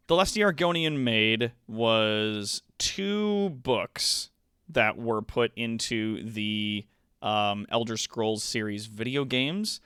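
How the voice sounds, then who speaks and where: A man chatting as a livestream host. His voice is smooth and unhurried, recorded dry, and he explains in a casual, playful, slightly hesitant way. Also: medium pitch, fairly bright tone, dry recording.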